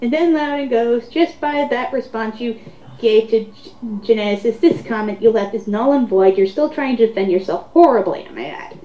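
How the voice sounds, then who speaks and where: A woman's voice: on the high side, normal speed, mellow. A woman reading online comments aloud in a sarcastic, theatrical way, with mocking commentary. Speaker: host of a dramatic reading. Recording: roomy, muffled home recording.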